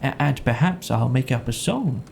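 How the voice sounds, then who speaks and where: A man voicing a kindly, simple, modest bear in a gentle, halting storybook manner. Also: medium pitch, animated, vocal fry, slight background noise. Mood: comforting.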